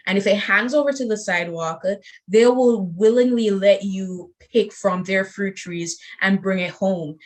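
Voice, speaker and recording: A woman from Jamaica, a youth workshop facilitator, explaining on a panel discussion in a measured way. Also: medium pitch, a bit bright. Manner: measured, example-driven explaining.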